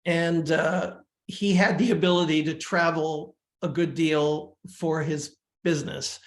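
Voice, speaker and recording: An older man from Uruguay, a musician guest, talking in a measured, reflective way with frequent restarts. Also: fairly high pitch. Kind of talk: casual interview talk. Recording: echoey room.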